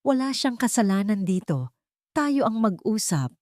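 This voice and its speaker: A woman's voice: mid-range voice, big pitch swings, measured pace, darkish tone, no echo, voice pushed up. Woman acting a protective mother, controlled, firm, storytelling drama, dry recording. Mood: tense calm. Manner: controlled, pleading then firm. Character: protective young mother facing down her rival, firm, controlled.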